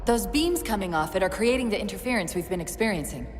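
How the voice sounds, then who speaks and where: An actress playing a witty, analytical female AI companion in a video game, explaining things briskly with dry humor. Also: mid-range voice, expressive, close to an everyday voice. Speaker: game character. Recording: game audio with music.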